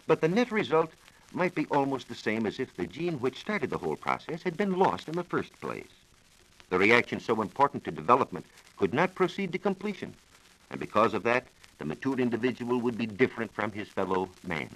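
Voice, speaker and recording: An American man giving a scripted science talk on 1940s radio, explaining in a measured, formal manner. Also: mid-range voice, very animated, a bit bright, poor audio. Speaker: science reporter explaining research. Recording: old 1940s radio broadcast recording.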